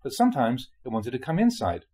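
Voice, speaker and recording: A male reader delivers a spiritual teaching in an explanatory, measured manner. His mid-pitched voice has a husky grain and moves expressively up and down as he sets out questions and explanations. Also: wildly swooping pitch, mellow. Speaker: monk reading dharma talks aloud. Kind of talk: reading a spiritual talk aloud.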